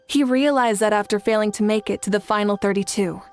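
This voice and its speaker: A woman's voice: medium pitch, fairly quick, faint music behind, close to an everyday voice. A woman playing a confident, benevolent warrior leader in an anime game, composed and encouraging. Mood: calm, admiring.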